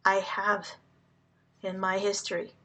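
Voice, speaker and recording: Slow, deliberate woman speaking earnestly. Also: medium pitch, monotone, bright, forceful tone. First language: Hungarian. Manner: halting, deliberate phrasing with pauses. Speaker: vlogger addressing the public.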